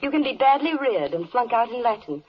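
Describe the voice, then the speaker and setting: A woman's voice: fairly high pitch, very animated, a little fast, slightly rough. An actress playing a charming, eloquent queen who recites rhymed verse with poise in a 1940s radio play. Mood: wry, lamenting. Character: charming, eloquent queen speaking for the animal kingdom. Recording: muffled vintage 1940s radio recording.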